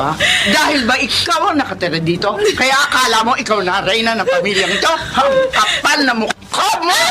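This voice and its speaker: A woman acting a middle-aged, domineering wronged wife and mother in a melodramatic radio drama, scornful and confrontational, slipping into English. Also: fairly high pitch.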